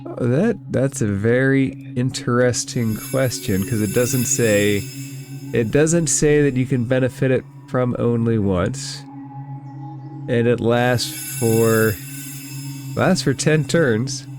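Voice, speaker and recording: A man's voice: mid-range voice, takes it slowly. A man acting as game master, narrating a tabletop game and talking through rulings aloud. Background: background music.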